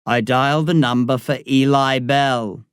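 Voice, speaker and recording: A man's voice: medium pitch, fairly even intonation, unhurried, clear, resonant tone, dry recording, clean studio sound, lightly acted. A man voicing a mysterious, urgent stranger on a phone line, insistent and ominous. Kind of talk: audiobook dialogue.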